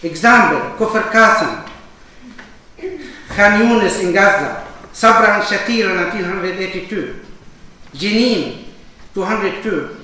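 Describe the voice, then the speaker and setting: A man from Lebanon, a panelist and association chairman, giving a halting statement in a panel debate with many fillers and restarts. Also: high voice, animated, bright, forceful tone. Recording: echoey hall, distant mic, faint noise.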